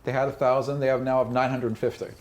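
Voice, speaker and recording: A man acting as game master, improvising narration in a casual, halting, colloquial way. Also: medium pitch, flat delivery. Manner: halting, colloquial descriptions with spoken sound effects.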